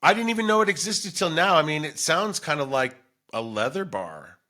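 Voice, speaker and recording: A man's voice: a little high, big pitch swings, normal speed, bright, forceful tone. A man co-hosting a casual comedic talk show, telling stories in a rambling way and acting out bits of dialogue. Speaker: podcast co-host.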